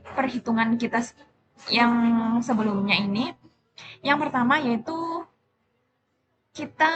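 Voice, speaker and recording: A young adult woman giving an explanatory class presentation as a student, in a halting, self-correcting manner. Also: a little high, noisy background. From Indonesia.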